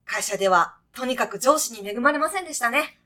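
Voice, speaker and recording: A woman's voice: on the high side, lively intonation, a little fast, pushed and bright. A woman playing a brash, breezy guide to heaven in a comic radio sketch, talking in punchy, clipped, casual slang like a sporty junior employee. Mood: upbeat, brash.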